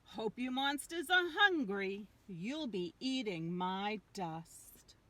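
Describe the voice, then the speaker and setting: Small, cute, fearless female bus played by a woman storyteller. Storybook character, cheeky taunts, measured pace. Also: mid-range voice, expressive, bright, forceful tone. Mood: defiant. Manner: bold, taunting.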